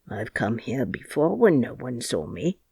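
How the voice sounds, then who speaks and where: A woman voicing a crabbed, stubborn old gardener with a Yorkshire dialect, speaking dryly and slowly. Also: extremely low pitch, big pitch swings, gravelly, warm tone, husky, no echo, pitched below the performer's own voice, heavily acted. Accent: Yorkshire dialect, put on. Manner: dry, grumbling, slow. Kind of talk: audiobook character reading.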